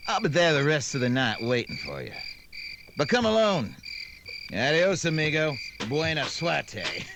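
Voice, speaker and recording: A man's voice: on the high side, wildly swooping pitch, gravelly, noisy background, heavily acted. An actor playing a menacing outlaw gunman in a western radio drama, mocking and drawing out his words. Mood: mocking menace. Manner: mocking, drawn-out delivery.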